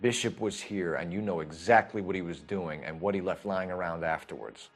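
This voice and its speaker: An actor playing a hard, blunt police detective with a husky voice and an accusing manner in a video game. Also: male voice, sits low, a little fast, faint music behind. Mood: accusing, threatening. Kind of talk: video game cutscene acting.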